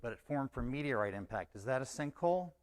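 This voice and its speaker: A man giving a measured, explanatory talk at a scientific conference. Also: mid-range voice, lively intonation, slight background noise. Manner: measured, explanatory, says okay as a filler. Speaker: conference presenter.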